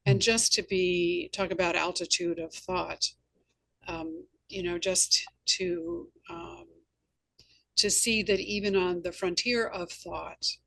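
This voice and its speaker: A woman's voice: fairly low pitch, fairly even intonation, takes it slowly, vocal fry, fairly bright tone, no room sound, poor audio. A woman hosting a conversational interview, chatting and sharing anecdotes. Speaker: interview host and novelist.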